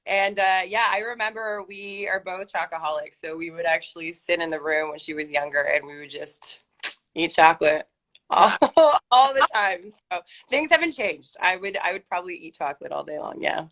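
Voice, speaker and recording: Relaxed, laughing woman guest. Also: moderate pace. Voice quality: calls her own voice deep. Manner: relaxed, laughs often, rambling asides. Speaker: guest actress. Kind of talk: casual video chat interview.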